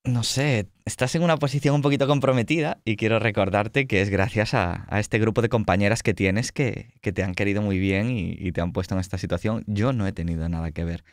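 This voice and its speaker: A man's voice: fairly low pitch, brisk, hardly any pauses, dry recording. Dry-recorded Spanish man hosting roleplay. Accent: Spanish from Spain. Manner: teasing, mock-boastful banter. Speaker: host and game master of a tabletop roleplay show. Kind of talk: casual joking introduction of players on a livestream.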